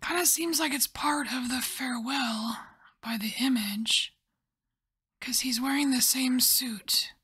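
A woman softly whispering and musing as she lays out and reads tarot cards in an ASMR style. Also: high voice, slightly rough. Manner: soft whispering, musing and unhurried. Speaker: tarot reader.

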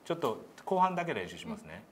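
A male pronunciation coach with a widely moving pitch takes a learner through sounds one step at a time. He gives frequent approval, and some background noise sits behind his voice in the meeting room. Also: big pitch swings, fast, a bit bright. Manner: encouraging, frequent approving feedback. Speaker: English pronunciation instructor.

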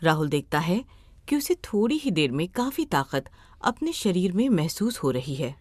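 A woman's voice: lowish voice, very animated, moderate pace, darkish tone, dry recording. A woman reading scripted story narration as a storyteller.

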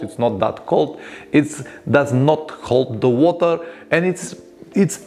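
Bulgarian-accented man chatting in his garage. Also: medium pitch. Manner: animated, gestures a lot, chatty with non-native grammar. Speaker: motorcycle travel vlogger. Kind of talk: casual storytelling to camera. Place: home motorcycle garage workshop, indoors. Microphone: lapel mic.

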